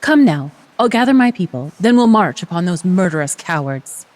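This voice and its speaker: A woman's voice: lowish voice, big pitch swings, moderate pace, darkish tone, dry recording. Woman playing a proud, commanding female village elder. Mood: resolute. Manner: commanding, formal, theatrical. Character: proud, indignant female village elder rallying her people. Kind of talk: fantasy video game acting. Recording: game music behind the voice.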